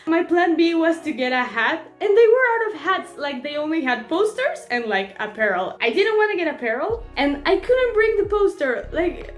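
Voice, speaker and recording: A woman's voice: very high-pitched, sing-song, moderate pace, hardly any pauses. A young woman from Mexico, chatting casually and giggling in a vlog, often restarting her sentences. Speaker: vlogger. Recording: echoey room. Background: faint background music.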